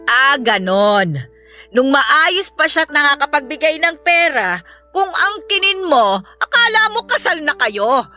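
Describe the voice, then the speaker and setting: Betrayed older wife, radio drama, very high voice, sharp, taunting, bitter, faint music behind. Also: female voice, very animated, slow pace, exaggerated voice. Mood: bitter indignant. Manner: scornful, taunting, emphatic. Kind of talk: Filipino radio drama acting.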